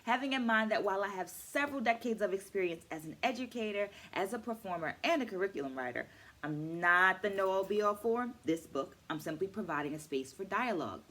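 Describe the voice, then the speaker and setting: A middle-aged woman hosting a book club vlog, explaining to camera with deliberate, emphatic phrasing. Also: medium pitch, expressive, fairly bright tone. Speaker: host of a virtual book club, educator. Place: small furnished room at home, indoors.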